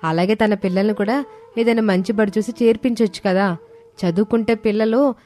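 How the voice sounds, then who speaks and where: A woman's voice: medium pitch, steady tone, a little fast, warm tone, close to an everyday voice. A woman voicing a practical, considerate landlord's wife in a storybook tale, polite and questioning. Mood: gently requesting.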